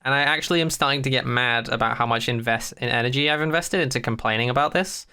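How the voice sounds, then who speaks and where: Podcast co-host, mid-pitched, clear and bright; blunt, opinionated, swears freely in loose conversation. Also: fast. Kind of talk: casual chat.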